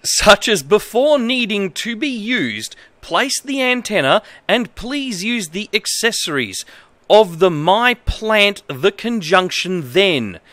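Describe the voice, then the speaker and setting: An Australian man with a high, forceful voice streams from home. His pitch swings around in an exaggerated read-aloud delivery, broken up by incredulous commentary. The sound is close and dry. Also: big pitch swings, slow pace, no echo. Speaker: livestreamer. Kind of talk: reading aloud with amused livestream commentary. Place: indoor home streaming setup.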